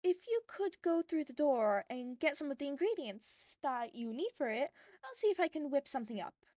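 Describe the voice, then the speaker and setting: A kindly female bartender from a story, played by a woman reader. She is polite and chatty in a businesslike customer-service way. The voice sits fairly high and is recorded very dry. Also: big pitch swings, moderate pace, a little mellow, dry recording. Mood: guarded, helpful.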